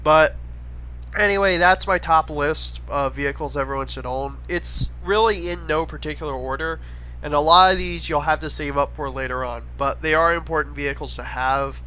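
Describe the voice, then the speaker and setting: Bright-voiced man with a slight head cold. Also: fairly high pitch, moderate pace, low-quality recording. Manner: chatty, enthusiastic recommending. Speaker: gaming video creator. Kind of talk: casual gameplay commentary voice-over.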